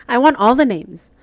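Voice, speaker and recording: A woman's voice: high-pitched, a little mellow, dry recording. A Mexican American woman whose first language is Spanish, chatting casually with her live chat while she stitches and laughing often. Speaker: cross-stitch livestream host.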